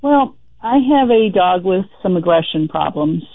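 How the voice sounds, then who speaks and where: A woman's voice: lowish voice, expressive, a little slow, no room sound. A woman calling a radio show, chatty as she explains her problem. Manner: chatty, storytelling. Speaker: caller. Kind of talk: phone call to a radio show. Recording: tinny phone line.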